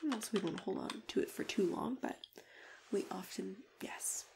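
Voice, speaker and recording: A woman playing a kindly, attentive matchmaker in a soft, gentle ASMR roleplay, often dropping into whispers as she talks unhurriedly to a client. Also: mid-range voice, normal speed, gravelly. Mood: helpful, reassuring. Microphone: close ASMR microphone.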